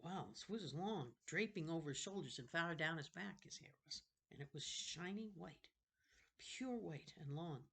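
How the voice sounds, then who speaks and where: A woman with a low voice reads a children's novel aloud. Her narration is unhurried. She now and then goes back over a fumbled phrase. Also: animated, a bit bright, breathy edge. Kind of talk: storybook narration read aloud.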